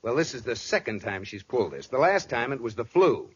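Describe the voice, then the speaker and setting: An actor playing an exasperated, conspiring husband in a 1940s radio comedy, with dry theatrical timing. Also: male voice, mid-range voice, sing-song, brisk, a bit bright. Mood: wry, conspiring. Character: exasperated husband conspiring against his scheming wife. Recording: dull, slightly noisy old radio recording.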